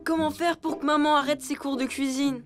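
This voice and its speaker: A woman's voice: high voice, steady tone, brisk, close to an everyday voice. A woman voicing a reluctant, scheming young boy elephant in a children's cartoon, boyish in manner. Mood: alarmed, plotting. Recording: music under the voice.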